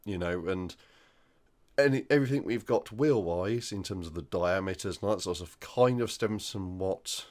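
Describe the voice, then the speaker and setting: A man with a croaky voice from flu, hosting a hobby news roundup in a casual, hesitant way with many ums. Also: sits low, moderate pace, a little mellow. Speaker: hobby channel host.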